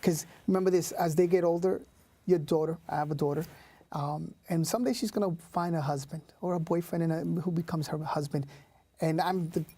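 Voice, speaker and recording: A man's voice: fairly high pitch, steady tone, brisk, warm tone, slightly rough, no echo. A man in his thirties, born in Puerto Rico, talking casually as an interview guest with many fillers and restarts. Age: 30s. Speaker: youth leader.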